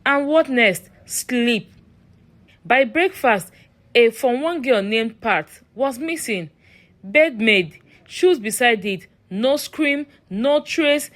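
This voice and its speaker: A woman's voice: fairly high pitch, expressive, slow, a bit bright. A woman narrating a scripted horror story in a dramatic, suspenseful manner.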